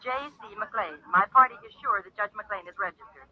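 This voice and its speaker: A muffled old radio recording with an actress playing a switchboard woman of middling pitch. She is formal, polite and businesslike, keeping unflustered to procedure. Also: moderate pace, slight background noise, natural voice. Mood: businesslike, patient. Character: polite, businesslike woman long-distance telephone operator. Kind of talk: old-time radio drama acting.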